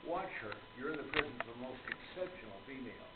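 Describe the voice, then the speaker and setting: A man playing an earnest, genteel older gentleman author in a radio play, speaking in a formal, lecturing manner. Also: medium pitch, lively intonation, fast talker, natural voice. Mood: wry. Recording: lo-fi, echoey room, noisy.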